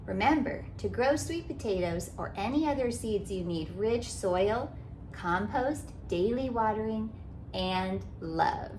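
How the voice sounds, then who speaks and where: A woman voicing a kind, loving grandmother in a children's storybook, affectionate and gently instructive. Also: mid-range voice, expressive, a little slow, slight background noise, natural voice. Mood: loving, teaching.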